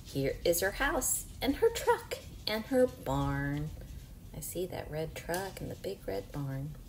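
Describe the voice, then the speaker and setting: A woman in her forties reading aloud to young children in an animated, questioning, teacherly way. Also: deep voice, takes it slowly, roomy sound. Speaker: children's storybook reader. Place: small home room, indoors.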